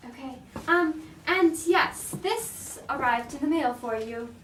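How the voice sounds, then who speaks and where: A woman's voice: high voice, lively intonation, takes it slowly, a bit bright, heavily acted. A woman playing a young royal attendant in amateur comic theatre, hesitant, apologetic and deferential. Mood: anxious, deferential. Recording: echoey room, distant mic, faint noise.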